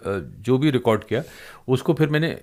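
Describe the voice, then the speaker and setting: A man's voice: mid-range voice, moderate pace, darkish tone. Older man hosting a discursive conversation. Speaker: podcast host and interviewer. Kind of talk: conversational interview with reminiscence.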